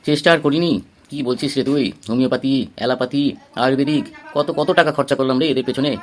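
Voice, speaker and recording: A man's voice: medium pitch, fairly even intonation, fast, lightly acted. A man playing a glum, self-pitying balding friend in his thirties, grumbling plaintively in an amateur comedy cartoon. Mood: resigned.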